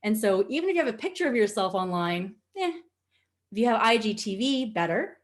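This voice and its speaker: Female webinar instructor, Nova Scotian Canadian accent, mid-pitched voice; animated, informal, candid delivery with a joking streak. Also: brisk. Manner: animated, candid, chatty, jokey. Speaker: social media instructor. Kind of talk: informal webinar teaching with casual asides.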